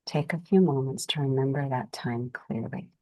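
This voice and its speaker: A woman with a very deep voice guides a meditation. She speaks calmly and soothingly, offering gentle instructions in unhurried phrases with space between them. The sound is dry and close, with little room around it. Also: no echo, poor audio.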